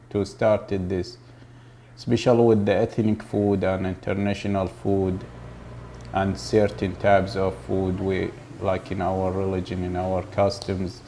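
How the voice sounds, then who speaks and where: Flat, gravelly man. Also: sits low, a little hiss or hum. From Iraq. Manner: halting, searching for words. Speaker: grocery store owner. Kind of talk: documentary interview.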